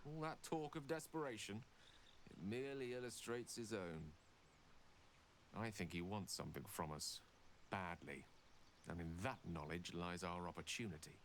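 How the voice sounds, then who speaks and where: A man's voice: sits low, lively intonation, normal speed, a little hiss or hum. A man playing an erudite, witty wizard in a video game, eloquent, wordy and theatrical. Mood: wry, shrewd. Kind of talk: video game dialogue acting.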